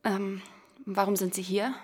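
A woman's voice: medium pitch, level tone, measured pace, darkish tone, husky. An actress playing a wistful, blunt woman in her twenties, in a naturalistic radio play style. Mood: blunt, curious. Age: 20s. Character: wistful, blunt young woman of twenty-three facing death.

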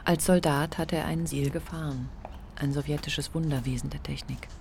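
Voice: medium pitch, steady tone, moderate pace, gravelly, darkish tone, slight background noise. A narrator reading literary prose in a scripted radio drama.

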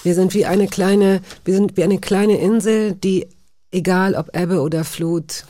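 A woman's voice: lowish voice, steady tone, unhurried, mellow. A woman hosting a radio interview show, chatty and playful, joking at her own expense. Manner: playful, chatty, self-ironic. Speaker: radio host. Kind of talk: radio interview and station announcement. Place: radio studio. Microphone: studio microphone.

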